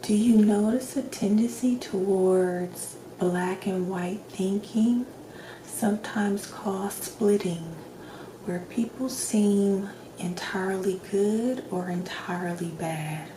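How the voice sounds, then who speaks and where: A woman doing a soft-spoken ASMR roleplay as a calm doctor, asking questions gently in a hushed, near-whispered voice with long pauses. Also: mid-range voice. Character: calm doctor giving a personality assessment. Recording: roomy echo, clear background noise.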